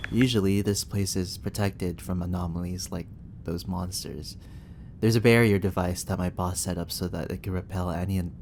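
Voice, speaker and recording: A man's voice: sits low, darkish tone, a little hiss or hum. A young man playing a flustered, protective barista who is secretly a time agent, in an ASMR sci-fi roleplay, speaking with stammers. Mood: weary, apologetic. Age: young adult. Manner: stammering, breathy sighs and nervous laughs. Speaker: roleplay actor.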